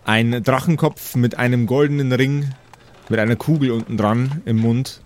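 A man narrating an improvised tabletop role-playing game as game master, in a deliberate, descriptive manner. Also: medium pitch, fairly even intonation.

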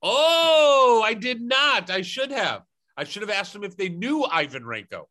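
A man's voice: high voice, very animated, measured pace, pushed and bright. A young man hosting a podcast, telling a story in a joking, teasing way. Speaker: podcast host. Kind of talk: casual storytelling chat.

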